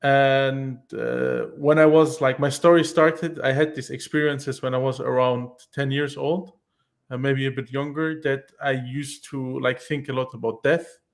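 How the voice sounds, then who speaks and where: A man explaining earnestly on a call-in talk show. He speaks in halting bursts with many restarts. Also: medium pitch. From Iran, raised in Germany. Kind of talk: casual explanatory chat.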